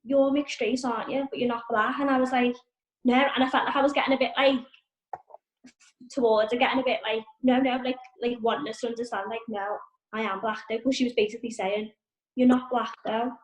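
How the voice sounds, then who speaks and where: A woman on a podcast panel telling a story in an animated way, acting out the people she quotes. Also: on the high side, brisk, roomy sound, rough recording. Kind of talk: casual storytelling.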